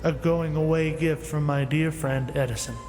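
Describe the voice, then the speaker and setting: A man's voice: a little high, steady tone, moderate pace, music in the background, close to an everyday voice. An actor playing a learned, irritable inventor in his late fifties in an audio drama, speaking formally and condescendingly. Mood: patient, explaining. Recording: noisy, echoing with long reverb.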